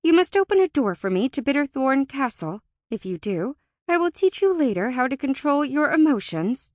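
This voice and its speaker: A woman's voice: high-pitched, sing-song, moderate pace, darkish tone, no echo, pitched above the performer's own voice. A woman narrator plays a young storybook heroine with a raised, urgent voice. She is anxious yet determined, speaking with earnest emphasis. The recording is close and dry. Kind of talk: audiobook character acting.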